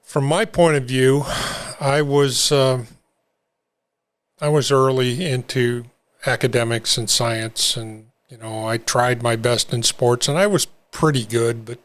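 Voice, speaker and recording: An older man telling his own recovery story in an interview, talking haltingly with many fillers and restarts. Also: medium pitch, fairly even intonation. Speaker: interview guest. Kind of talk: reflective personal story.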